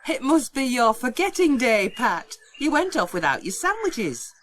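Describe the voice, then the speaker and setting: A woman's voice: a little high, sing-song, measured pace, slight background noise. A woman voicing a cheerful, teasing village wife in a children's storybook audiobook.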